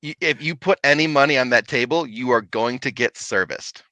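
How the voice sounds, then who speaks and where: A man telling a lively, laughing story from his paranormal investigations in casual chat. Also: medium pitch, a little fast, no room sound. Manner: animated, laughing, big voice.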